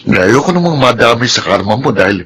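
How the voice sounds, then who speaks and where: Forceful, nagging suspicious man. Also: mid-range voice, lively intonation, brisk, slight background noise. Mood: exasperated warning. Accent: Filipino. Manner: admonishing, exasperated. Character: nagging, suspicious male business partner, worried family man. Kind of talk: Filipino radio drama acting.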